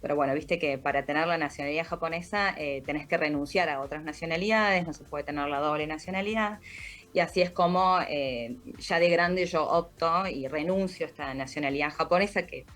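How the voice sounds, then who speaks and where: A woman from Argentina with a Rioplatense accent, calmly explaining her story as an interview guest and often tagging sentences with "¿no?". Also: deep voice, fairly quick, soft background music. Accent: Rioplatense Spanish. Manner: reflective, explanatory, frequent "¿no?" tags. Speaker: podcast creator, guest.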